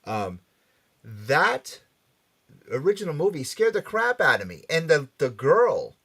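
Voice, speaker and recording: Animated American man hosting a chat show. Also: fairly high pitch, measured pace, slightly rough. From Norwalk, Connecticut, USA. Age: middle-aged or older. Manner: animated, emphatic, chatty. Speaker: radio and podcast show host. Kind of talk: casual pop culture chat.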